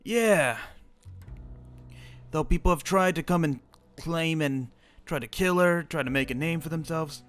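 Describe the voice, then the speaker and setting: A man's voice: a little high, unhurried, pauses often, a bit bright, faint music behind. A young man roleplaying an old immortal wizard, rambling and restarting his sentences. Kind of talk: in-character roleplay chat.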